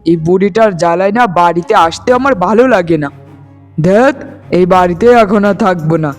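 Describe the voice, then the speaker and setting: A man's voice: sits high, fairly quick, faint music behind, natural voice. A man voicing an irritable, selfish grown son and neglectful husband in a cartoon, impatient and grumbling. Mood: annoyed, defensive. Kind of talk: cartoon voice acting in a moral story.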